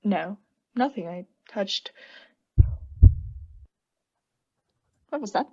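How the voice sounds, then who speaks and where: A young woman playing a guilty, unhinged caretaker in a radio play, breathy and often whispering, with halting, insistent self-justification. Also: mid-range voice, expressive, darkish tone, highs cut off. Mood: uneasy, distracted. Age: young adult. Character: guilty, unhinged caretaker, anxiously feigning calm politeness. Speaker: student actor.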